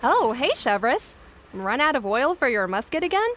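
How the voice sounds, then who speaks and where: An actress playing a breezy, no-nonsense shopkeeper gunsmith in a video game, talking in a casual, blunt, animated way. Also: female voice, sits high, normal speed, slight background noise. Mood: breezy, pondering. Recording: faint music behind.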